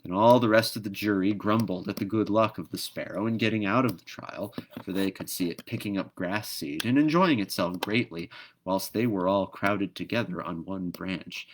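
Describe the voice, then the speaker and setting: A man narrating a children's storybook aloud in an expressive read-aloud style. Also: low-pitched, normal speed. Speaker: storybook reader.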